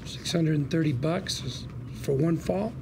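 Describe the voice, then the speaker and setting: An actor playing a slick, fast-talking con-artist lawyer at a drama table read, full of persuasive, slangy patter. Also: male voice, on the high side, noisy background. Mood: sly persuading. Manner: glib, persuasive patter with colourful slangy quips.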